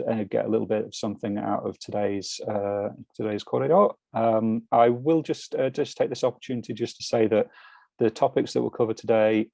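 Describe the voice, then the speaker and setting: British guy, deep gravelly voice, dry close sound, lots of ums. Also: mellow, no echo. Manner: hesitant, many ums and restarts. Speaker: financial mentor. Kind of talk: casual chat on a video call.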